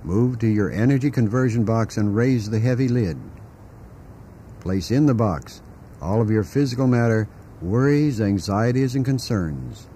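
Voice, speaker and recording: A man narrating a scripted guided meditation in a calm, deliberate way, giving instructions with pauses. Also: fairly low pitch, a little hiss or hum.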